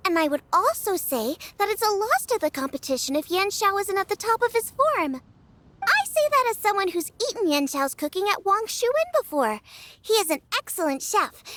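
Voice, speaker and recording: A woman's voice: very high voice, very animated, fairly quick, fairly bright tone, no echo, heavily acted. A woman voicing an earnest, encouraging young girl chef, a cheerful video game character.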